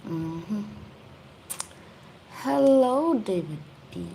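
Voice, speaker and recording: Filipina livestream host, Filipino accent, hoarse voice, unhurried chatty delivery, laughs a lot, greets viewers by name, faint ambience behind. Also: female voice, mid-range voice, lively intonation, warm tone, slight background noise, poor audio. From Philippines. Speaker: YouTube host. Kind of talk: casual livestream chat reading viewer comments.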